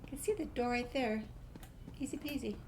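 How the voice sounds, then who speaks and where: A woman's voice: on the high side, fairly quick. Woman gamer with faint background noise. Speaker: gameplay streamer. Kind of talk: game walkthrough, reading dialogue aloud with casual asides.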